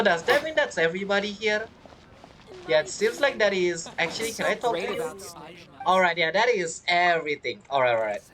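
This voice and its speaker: A woman's voice: on the low side, a bit bright, a little hiss or hum. A woman giving chatty, casual commentary as she plays through a game, reacting aloud. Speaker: gamer commenting on gameplay.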